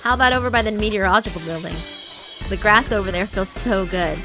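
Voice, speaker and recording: A woman voicing an excitable, bubbly schoolgirl with an eager, high-spirited manner in a visual novel story. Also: fast talker, music in the background, lightly acted. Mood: excited.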